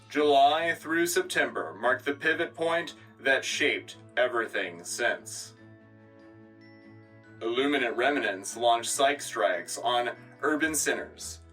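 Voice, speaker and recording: A man's voice: mid-range voice, sharp tone, recorded from a distance. A man playing an earnest, patriotic wartime radio anchor, delivering a solemn, declamatory scripted newscast. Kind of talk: scripted propaganda newscast narration.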